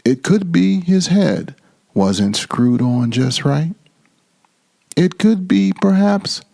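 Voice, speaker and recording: Slow, animated male storyteller with creaky voice. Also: a little high. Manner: theatrical, drawn-out emphasis and repetition. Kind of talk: dramatic children's storybook reading.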